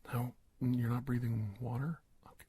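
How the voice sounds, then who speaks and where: A man playing a courteous, startled investigator doctor in an ASMR roleplay, speaking in a hushed, breathy, stammering way. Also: medium pitch, little pitch movement. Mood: astonished, bewildered. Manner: halting, stammering, formal and courteous. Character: startled, polite investigator doctor facing an alien. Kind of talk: ASMR roleplay monologue, soft-spoken and whispery.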